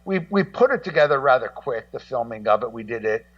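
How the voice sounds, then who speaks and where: A man in casual radio conversation, rambling and halting as he repeats words and acts out what other people say. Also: mid-range voice, big pitch swings, no echo. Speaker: guest co-host and music documentary maker. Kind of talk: casual radio talk, telling anecdotes. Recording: muffled, slightly distant voice feed.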